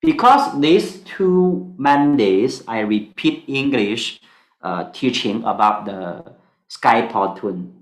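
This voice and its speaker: Slow, halting Singaporean man teaching. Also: medium pitch, animated, echoey room, low-quality recording. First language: Mandarin. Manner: halting, many fillers, broken English. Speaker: stock analysis teacher. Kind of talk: online class lecture.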